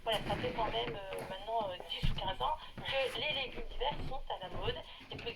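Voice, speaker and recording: Higher-pitched chatty woman, noisy background. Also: fairly quick. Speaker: food guest on radio show. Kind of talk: casual radio discussion. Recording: radio sound with clear background noise.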